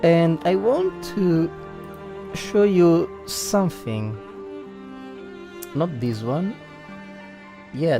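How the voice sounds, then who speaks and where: A man's voice: a little high, dark tone. A man with an Italian accent, a native Italian speaker livestreaming casual game commentary, thinking aloud and searching for words. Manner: hesitant, searching for words, reflective.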